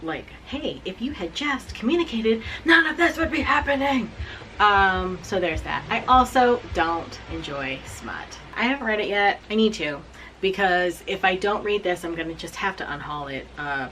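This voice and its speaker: A woman in her forties recapping books she has read to camera, blunt, animated and self-mocking. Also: lowish voice, moderate pace, slight background noise. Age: 40s. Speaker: book reviewer. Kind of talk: casual book recap to camera.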